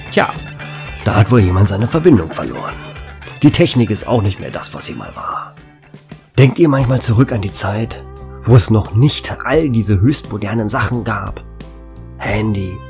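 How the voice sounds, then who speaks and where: An actor playing a chatty, joking small-town radio DJ in a radio drama. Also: male voice, on the low side. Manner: chatty, jokey. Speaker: radio host.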